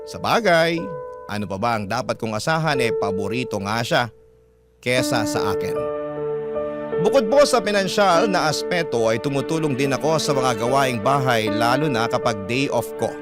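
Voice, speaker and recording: A man's voice: medium pitch, lively intonation, normal speed. A man with a Filipino accent narrating a listener's letter in the first person as a dramatic radio storyteller. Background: background music.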